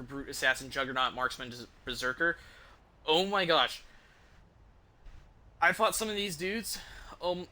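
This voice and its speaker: Male streamer, very bright forceful voice, slightly high pitch, informal, enthusiastic, halting rambling chat. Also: slight background noise. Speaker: game streamer. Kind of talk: casual rambling livestream chat about game mods.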